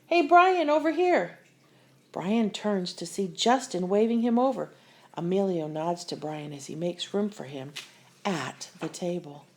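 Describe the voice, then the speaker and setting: A woman reading a children's picture book aloud with expressive, deliberate storyteller phrasing. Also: on the low side. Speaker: story reader.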